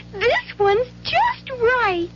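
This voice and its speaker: Perky storybook girl all grown up, super high voice, old radio ad, dull hissy sound. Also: huge pitch swings, drawn-out words, fairly bright tone, exaggerated voice. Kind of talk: 1970s radio commercial acting. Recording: dull old radio recording, faint noise.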